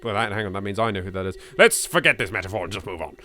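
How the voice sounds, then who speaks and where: Bright, forceful Englishman playing a horror game. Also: on the low side, animated, racing. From England. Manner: sweary, jittery, sardonic asides. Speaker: video game let's player. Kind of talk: let's play commentary with dramatic read-aloud of game text.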